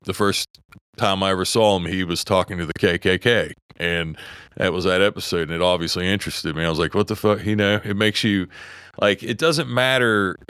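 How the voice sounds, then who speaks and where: A low-voiced man with a West Virginia accent talks politics on a podcast, swearing freely and breaking off to restart his thoughts. His voice is clean and smooth, recorded close on a dry mic with no room sound. He sounds middle-aged and argues hard. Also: steady tone, fairly bright tone, no room sound. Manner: heated, profane, halting with restarts. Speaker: podcast co-host. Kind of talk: casual opinionated chat.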